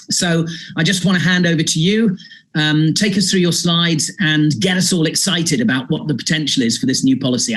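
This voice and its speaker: A man's voice: mid-range voice, rough recording. A man hosting an online event and interviewing a guest over a video call, chatty and effusive. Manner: chatty, effusive, many fillers. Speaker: host and interviewer.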